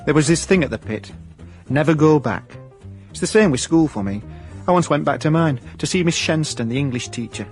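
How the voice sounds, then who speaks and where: An actor playing a hapless ex-miner turned private detective in a radio comedy drama, with a South Yorkshire accent and a deadpan, dry manner. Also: male voice, on the high side, a little fast, soft background music. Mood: wry narrating. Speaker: lead actor and narrator.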